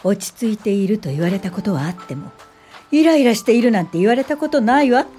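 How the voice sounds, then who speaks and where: A woman's voice: medium pitch, expressive, quick pace, warm tone, soft background music, lightly acted. A woman acting in a Japanese radio drama as a composed, earnest and determined welfare pioneer, speaking articulately. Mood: indignant, guarded.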